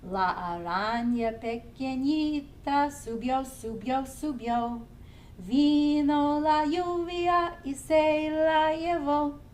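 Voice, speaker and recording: A woman children's librarian leading a family storytime, singing nursery songs in English and simple Spanish and gently encouraging young children to join in. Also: high-pitched, takes it slowly, a little hiss or hum. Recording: somewhat distant from the mic, faint music.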